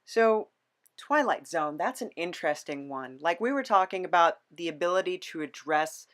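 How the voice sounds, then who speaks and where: This is a podcast host in a casual interview chat. The voice sits at a middle pitch. The delivery is halting and musing, as if thinking things through while talking. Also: animated, fairly bright tone.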